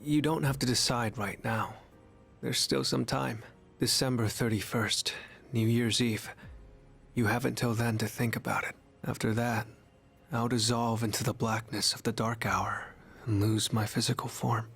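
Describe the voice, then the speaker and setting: Young male death herald, game character, breathy, soft, solemn, resigned, gently pleading, medium pitch. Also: fairly even intonation, moderate pace, soft background music. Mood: sorrowful, pleading. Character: gentle, sorrowful young male harbinger of death in human form. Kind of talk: English video game voice acting.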